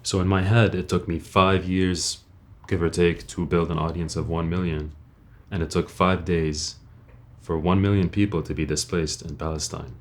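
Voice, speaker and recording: A man's voice: low voice, steady tone, normal speed. A young man from Lebanon speaking as a video creator in an earnest personal monologue, blunt and informal, often putting rhetorical questions.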